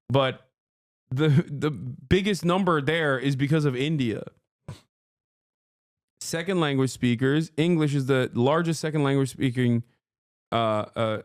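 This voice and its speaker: A man's voice: mid-range voice, no room sound, clean studio sound. A man from Turkey, a native Turkish speaker, hosting a casual live-stream reaction and bluntly teasing his viewers. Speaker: streamer host.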